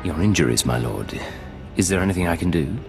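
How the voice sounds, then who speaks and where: An actor voicing a calm male imperial agent who talks in a low-key, even and concise way, in a video game cutscene. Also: a little fast, creaky. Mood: steady. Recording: long reverb over loud music.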